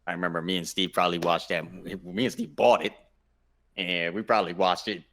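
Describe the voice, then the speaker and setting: A man's voice: low-pitched, fairly even intonation, a little fast, a bit bright. A man co-hosting a casual chat, giving his opinions emphatically and repeating himself for stress. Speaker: podcast co-host.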